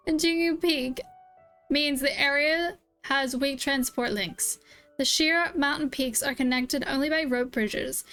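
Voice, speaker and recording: High-voiced casual woman streamer. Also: a bit bright. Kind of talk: game playthrough reaction, reading dialogue aloud.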